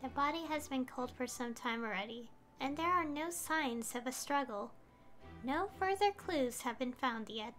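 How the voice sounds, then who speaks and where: A woman's voice: high voice, lively intonation, moderate pace. A woman streaming a video game, reading text aloud and musing over it in a thoughtful, hesitant way. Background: game music.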